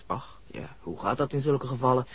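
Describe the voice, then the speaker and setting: A woman playing a reserved, mysterious doctor in a 1960s Dutch radio play, speaking formally and deliberately with some hesitation. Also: extremely low pitch, animated, slightly rough, full character voice. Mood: guarded, reflective. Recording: dull, dry vintage radio recording.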